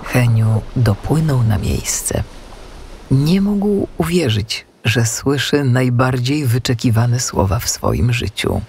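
A man narrating a children's story as an audiobook, with expressive scripted delivery. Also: mid-range voice, takes it slowly, vocal fry, a little hiss or hum.

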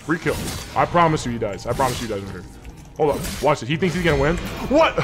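A man's voice: a little high, lively intonation, quick pace, over music. A man in his twenties bantering casually while gaming, with cocky, slangy trash talk into a desk microphone. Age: 20s. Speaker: streamer playing as a trolling student. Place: small indoor room. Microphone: large desk microphone up close.

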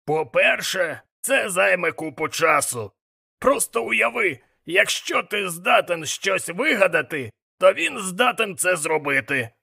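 A man playing a gruff, worldly-wise demon mentor with a rasping voice and a sardonic, lecturing manner. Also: high voice, animated, a little slow, sharp tone, full character voice. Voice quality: gravelly, rasping.

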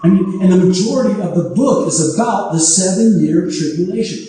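A man preaching a sermon on prophecy whose first language is Arabic, speaking emphatically and at times rising to high exclamations. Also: lively intonation, fairly quick, warm tone, soft background music. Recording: echoey hall from far off.

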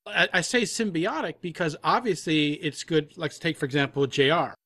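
Male podcast guest, fairly high voice, dry sound, elaborates and corrects himself in casual conversation. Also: normal speed, pushed and bright, no room sound. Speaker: audio drama producer, podcast guest. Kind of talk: casual interview chat.

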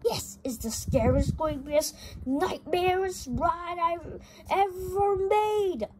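An amateur reader voicing an excitable, teasing male mouse cousin from a comic, in a noisy home recording. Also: very high-pitched, slow, voice pushed up. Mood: excited, teasing. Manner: eager, pushy, teasing.

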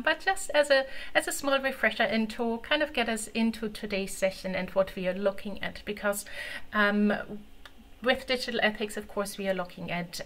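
A woman with a German accent, German being her first language, giving an online conference talk. She speaks in a mid-range voice, conversational and unhurried, with frequent ums between phrases. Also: medium pitch, fairly bright tone. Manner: conversational, many filler ums. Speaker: conference presenter. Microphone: video call.

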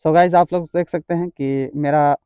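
Higher-pitched man, casual vlogger. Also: steady tone, normal speed, clear, resonant tone, dry recording, dull audio. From India. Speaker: motovlogger. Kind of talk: casual vlog demonstration.

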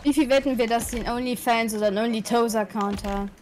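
A woman with a fairly high voice talks casually in a group voice chat. Her manner is teasing and informal. There is faint game noise behind her. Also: fairly even intonation, quick pace, darkish tone.

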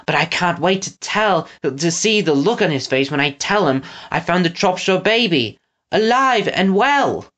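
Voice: mid-range voice, expressive, measured pace, fairly bright tone, voice pushed up. A reader voicing a plucky, well-bred eleven-year-old girl, prim and self-assured, in a storybook reading. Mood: smug.

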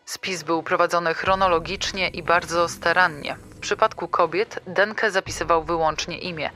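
A man's voice: on the high side, pushed and bright. A man reading scripted true-crime narration in a formal, articulate way.

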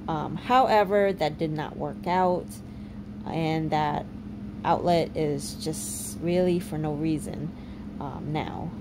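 A woman in her thirties narrating a home renovation video in a relaxed way, with many ums and uhs. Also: deep voice, slow pace, background noise. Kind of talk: casual voice-over narration.

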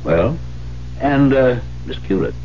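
A dull old radio broadcast with light hiss carries a concerned male confidant. He probes insistently and deliberately, his pitch moving expressively. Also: expressive, mellow. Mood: concerned, probing. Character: concerned, probing male confidant of a troubled widow. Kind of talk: 1970s radio drama acting.

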